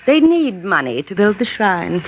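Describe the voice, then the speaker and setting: A woman's voice: medium pitch, big pitch swings, measured pace, a little hiss or hum. An actress playing a polite, eager female secretary who goes undercover, in a 1950s radio drama. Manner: polite, bright, playing along. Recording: dull lo-fi 1950s radio broadcast.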